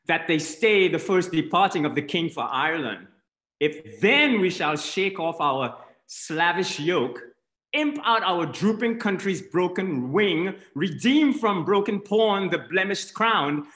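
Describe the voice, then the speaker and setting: An actor voicing a conspiring Shakespearean nobleman declaims his call to arms. The voice is high and bright, with a lively, swooping pitch. The sound is roomy, as from a webcam in a bare room. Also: full character voice. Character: Northumberland, scheming middle-aged nobleman. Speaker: actor in a staged reading. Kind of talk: Shakespeare reading on video call. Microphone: video call webcam.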